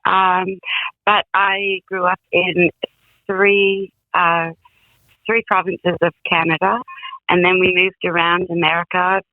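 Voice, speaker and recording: A woman's voice: lowish voice, level tone, slow, no echo. A woman from England, a guest songwriter, telling stories in a rambling, casual way over a phone line. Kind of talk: casual interview.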